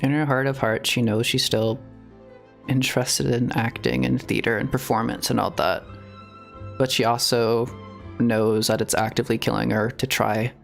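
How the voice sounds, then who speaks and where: A man's voice: mid-range voice, level tone, normal speed, creaky, soft background music. A man giving casual live game commentary as a streamer, chatty and musing.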